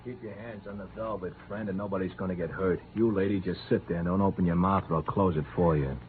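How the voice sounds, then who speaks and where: A man's voice: deep voice, fairly even intonation, fast, a little hiss or hum, natural voice. An actor in an old radio drama playing a tough, surly stick-up man with a curt, slangy, menacing manner. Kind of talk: 1940s-50s radio drama acting. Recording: tinny old radio recording, faint music.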